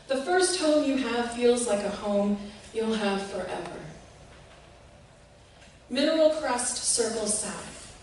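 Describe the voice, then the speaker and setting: Slow, deliberate woman in an echoey room. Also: fairly high pitch. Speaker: reader of a short literary piece. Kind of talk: expressive literary reading to an audience. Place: reverberant indoor room. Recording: echoey room, distant mic, faint noise.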